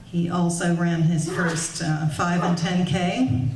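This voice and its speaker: A lower-pitched woman makes announcements and introduces a guest speaker at a meeting, talking softly and pausing often. She sounds distant from the mic, with room echo and faint hiss. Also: little pitch movement. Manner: soft-spoken, with frequent "um" and "uh". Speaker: organization leader introducing the speaker. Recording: echoey room recorded from afar with faint hiss.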